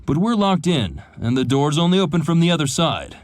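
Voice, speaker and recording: A man's voice: a little high, sing-song, brisk, no echo, clean studio sound, faint music behind. An actor playing an old, slightly tipsy sea captain in a game cutscene, telling his story with a drawl. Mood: gruff.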